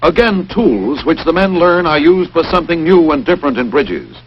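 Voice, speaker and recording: A man's voice: on the high side, big pitch swings, normal speed. Man narrating a 1940s newsreel. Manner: emphatic, punchy announcer delivery. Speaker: film narrator. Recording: dull, hissy 1940s film soundtrack.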